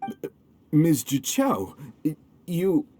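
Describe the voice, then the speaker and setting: A man's voice: fairly high pitch, huge pitch swings, slow pace, warm tone, a little hiss or hum, heavily acted. A man voicing an anxious, flustered merchant in a video game, with a defensive, hesitant manner. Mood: shocked, flustered.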